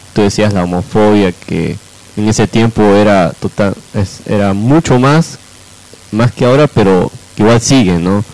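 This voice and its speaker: A man's voice: on the low side, a little mellow, poor audio. A man hosting an informal radio talk show, often adding checking tag questions.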